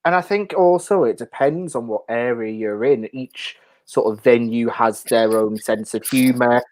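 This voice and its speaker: A man's voice: medium pitch, measured pace, darkish tone. Male interviewer, medium register, informal conversational hosting. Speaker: host, interviewer. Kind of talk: casual interview.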